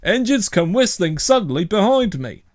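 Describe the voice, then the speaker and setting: A man's voice: high-pitched, very animated, moderate pace, no room sound, studio quality, pitched above the performer's own voice. A man voicing a cross, stern, officious policeman in a children's storybook. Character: cross, stern, red-faced male policeman. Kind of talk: children's storybook character reading.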